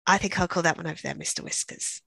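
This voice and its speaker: A woman's voice: fairly low pitch, fairly even intonation, fast, creaky, sharp tone, slightly rough, no echo. A woman co-hosting with playful, mock-serious scripted comic banter. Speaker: show co-host.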